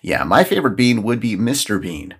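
A man's voice: lowish voice, big pitch swings, brisk, fairly bright tone, slightly rough. A man hosting a podcast, talking casually and solo through the day's events with jokey, rambling asides. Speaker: podcast host.

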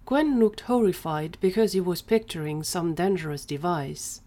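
Woman reading scripted audio drama narration, voice a bit on the low side. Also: normal speed, warm tone.

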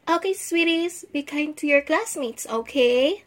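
A woman playing an affectionate, gentle young mother and wife in an amateur radio drama. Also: high-pitched, lively intonation, measured pace. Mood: caring.

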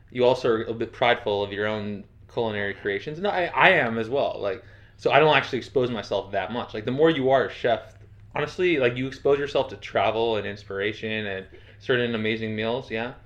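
A man's voice: medium pitch, brisk. A man hosting a casual interview, rambling and chatty, with lots of fillers. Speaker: podcast host, interviewer. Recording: dull lo-fi audio.

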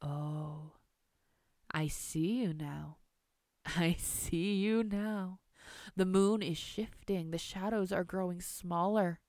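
A woman's voice: lowish voice, takes it slowly, a little mellow, no room sound, clean studio sound. A woman voicing a cruel, hissing female spirit of moonlight, a veiled horror from a spooky storybook. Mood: gloating menace.

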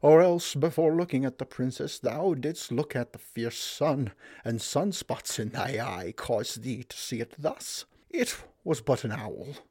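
A man's voice: medium pitch, expressive, unhurried, dark tone, slightly rough, no echo, studio quality. A man doing a scoffing rich townsman. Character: scoffing rich male citizens, condescending.

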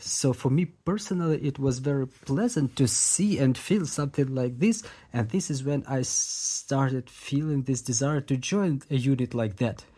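A man giving interview answers in English translation, speaking in a measured way with restarts and repeated phrases. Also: mid-range voice, dark tone, husky.

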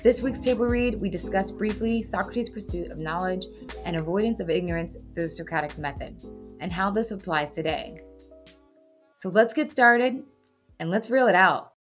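A woman's voice: mid-range voice, moderate pace. A woman hosting a segment, reading scripted explainer narration over music. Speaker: host.

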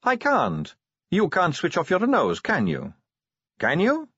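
A man's voice: sits high, huge pitch swings, a little slow, fairly bright tone, breathy edge, dry recording, lo-fi sound, pitched above the performer's own voice, heavily acted. A man voicing a teasing, self-assured female vampire police officer in an audiobook story. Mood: needling. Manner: breezy, needling, coaxing.

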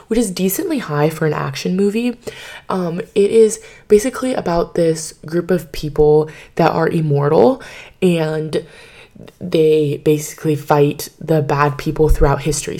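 Female podcast host, low mellow voice, slightly hoarse; rambling casual chat into a home mic from her bed. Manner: chatty and rambling, many filler words. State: slightly hoarse, partly lost voice. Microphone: podcast microphone.

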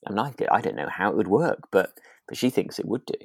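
Low-pitched man, tentative podcast chat. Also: very animated, quick pace, creaky, breathy edge, no echo, studio quality. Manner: hesitant, tentative, thinking aloud. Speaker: podcast co-host. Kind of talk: relaxed chat discussing a radio drama.

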